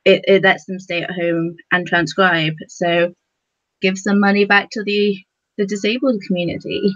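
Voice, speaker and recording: A woman's voice: mid-range voice, level tone, moderate pace. Woman panelist on dull, lo-fi audio. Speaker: podcast panelist. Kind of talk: casual panel discussion.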